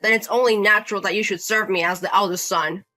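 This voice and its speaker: A woman reads the part of a condescending older brother-in-law in his thirties who scolds, demands and talks down to everyone. The bright voice sits at an ordinary pitch and keeps a sneering, bossy edge throughout the drama story. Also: steady tone, fast, lightly acted. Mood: contemptuous. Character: entitled bullying older brother-in-law, man in his 30s.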